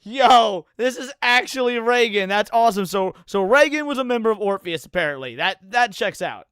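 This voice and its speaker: A man's voice: high-pitched, animated, normal speed, fairly bright tone, slight background noise. A man hosting a livestream, giving casual, profane reaction commentary with muttered asides and some reading aloud. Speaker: livestreamer host. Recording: music behind the voice.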